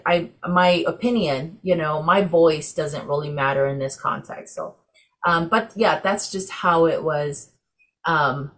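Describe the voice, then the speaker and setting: Woman from Samoa, chatty with many fillers, casual class discussion, video call. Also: fairly low pitch. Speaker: class facilitator.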